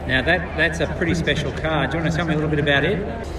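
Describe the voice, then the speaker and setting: A man's voice: fairly high pitch, rapid-fire, noisy background. An Australian man doing a casual, jokey live interview as an event host over a public address system. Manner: chatty, jokey. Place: outdoors at a motor show. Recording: echoey outdoor PA, distant, crowd chatter. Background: crowd.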